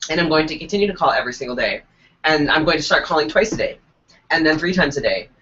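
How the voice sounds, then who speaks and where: A man's voice: fairly high pitch, quick pace. Stuffy-nosed man telling a story. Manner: profane, animated storytelling. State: stuffy, congested. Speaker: co-host. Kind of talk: casual live web show chat. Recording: dull lo-fi web stream.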